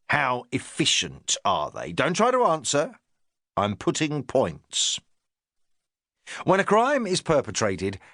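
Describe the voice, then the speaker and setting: A man's voice: fairly high pitch, fairly bright tone, no echo, studio quality, pitched above the performer's own voice, full character voice. A man putting on a pompous lecturing police inspector. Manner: pompous, hectoring, jabbing phrases. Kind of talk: audiobook character reading.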